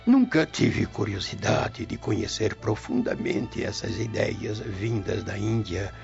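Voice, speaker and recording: An actor playing a noble old Roman grandfather in a radio drama, gravelly and grave, conservative and troubled. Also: on the low side, darkish tone, full character voice. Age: older. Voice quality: gravelly, creaky. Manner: slow, grave, hesitant. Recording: dull lo-fi radio drama audio. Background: music.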